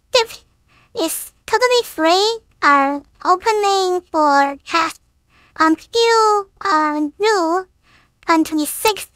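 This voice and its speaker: A man as a very high, bright little girl. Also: no room sound, heavily acted. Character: cute little girl, AI voice changer preset. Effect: AI real-time voice changer. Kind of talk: casual software tutorial.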